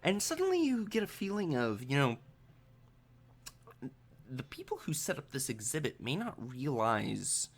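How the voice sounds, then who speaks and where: A man running a tabletop game, improvising narration in a halting, conversational way. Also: mid-range voice, wildly swooping pitch, breathy edge. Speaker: game master.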